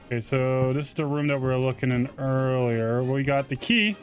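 A man's voice: medium pitch, normal speed, faint music behind. A man giving casual, informal video game commentary with slang and mild swearing. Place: close mic indoors, game music behind.

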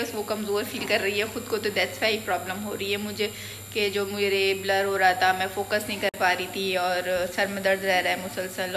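A woman's voice: mid-range voice, a bit bright. A woman in her thirties with a Pakistani accent, chatting casually to her phone as a vlogger and mixing in English words. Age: 30s. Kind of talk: casual vlog chat to camera. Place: clinic lobby, optical shop and home kitchen. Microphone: phone at arm's length. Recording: noisy phone audio with street and room noise.

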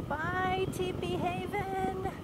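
A middle-aged woman casually chatting while on a motorcycle trip, upbeat and easily excited. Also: very high-pitched, very slow, very noisy. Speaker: motorcycle travel vlogger. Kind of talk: casual chat. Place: outdoors, on a motorcycle and at a forest campsite.

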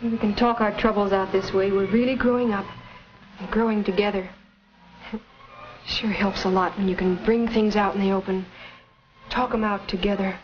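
Actress as an earnest young 1950s wife, muffled film. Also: female voice, mid-range voice, steady tone, moderate pace, pauses often, slight background noise, natural voice. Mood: reflective, hopeful. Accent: American. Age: 20s. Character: earnest, devoted young newlywed wife, reflective and sensitive. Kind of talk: 1950s educational film acting and narration. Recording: muffled vintage 1950s film soundtrack, music behind.

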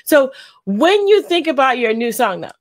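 A middle-aged woman hosting an interview over a video call from a room at home, affirming her guest and asking gentle open questions. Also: high-pitched, very animated, moderate pace. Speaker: interview host. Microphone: webcam on a video call.

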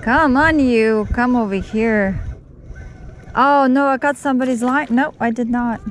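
A woman's voice: on the high side, a little slow, a little hiss or hum. A woman vlogging on a fishing trip from a boat on a lake, chatty and cheerful, laughing often. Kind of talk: casual outdoor vlog narration.

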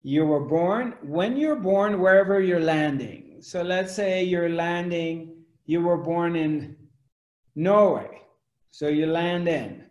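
Guy in his 40s doing a spiritual talk on webcam, slow and probing, bit high voice, roomy echo. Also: darkish tone, highs cut off. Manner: questioning, gestures broadly with both hands. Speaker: spiritual teacher. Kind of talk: spiritual discourse to camera. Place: home room indoors. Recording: echoey room.

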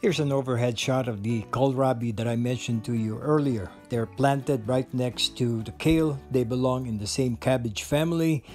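A man's voice: mid-range voice, steady tone, normal speed, hardly any pauses. A man giving a voice-over garden tour, explaining his vegetable beds with eager enthusiasm and the odd filler. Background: soft guitar music.

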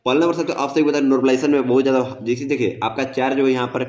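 A man's voice: mid-range voice, steady tone, fast talker, darkish tone. A man giving an informal explainer, reassuring and repetitive as he addresses viewers directly. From India. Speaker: exam-prep video presenter.

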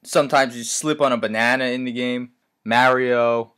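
A man making a deadpan prank phone call, speaking in an earnest, polite and hesitant way. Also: medium pitch, moderate pace, smooth.

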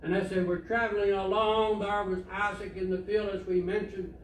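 An older man delivers a sermon from the pulpit, telling stories in a plain, conversational way. His voice sits fairly high for a man. It is picked up by a distant camera and echoes around the church sanctuary. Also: level tone, normal speed. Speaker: preacher. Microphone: far camera. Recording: echoey church from far off, faint hiss.

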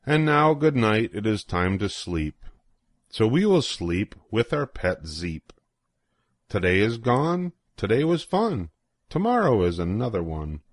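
Older male storybook reader, lowish voice, very animated, dry close sound. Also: measured pace, dry recording. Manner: playful, sing-song rhythm with swooping emphasis. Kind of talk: expressive read-aloud of a children's rhyming picture book.

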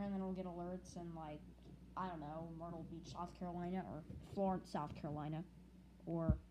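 A noisy, click-flecked recording carries a mid-pitched, female-sounding voice. The speaker, from the United States, talks casually and off the cuff, hesitating and circling back over words in a rambling update. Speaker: hobbyist video creator. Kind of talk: casual unscripted video update.

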